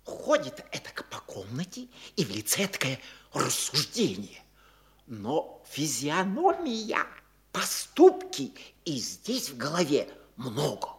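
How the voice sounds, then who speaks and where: A fussy middle-aged country landowner who rambles and stammers breathlessly. His pitch bounces all over the place as he piles up detail. A stage radio play reading.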